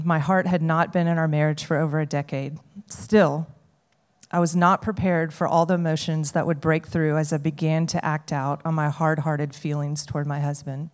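A woman reading a prepared personal testimony to a group, in an earnest, measured way. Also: low voice, a little mellow. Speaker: speaker sharing a testimony. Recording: long hall reverb.

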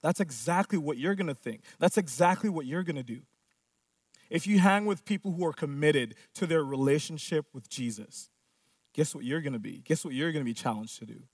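A man's voice: fairly high pitch, brisk, breathy edge, dry recording, recorded from a distance. A pastor preaching a sermon, driving his points home with repeated phrases and rhetorical questions.

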